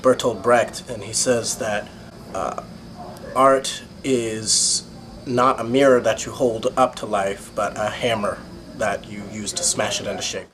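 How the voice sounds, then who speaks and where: A man's voice: on the low side, steady tone, a little slow. A young American man, a stage actor, speaking earnestly and hesitantly in an interview. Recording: noticeable background noise and room ambience.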